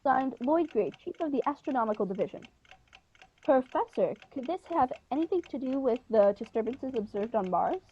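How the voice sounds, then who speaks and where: Young woman acting earnest radio newsman, high voice, scripted live-broadcast delivery, dull lo-fi sound. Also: animated, moderate pace, warm tone, slightly rough. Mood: formal, inquisitive. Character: earnest male radio news reporter interviewing live. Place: living room, video call. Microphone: earphones with inline mic. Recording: dull lo-fi video call audio.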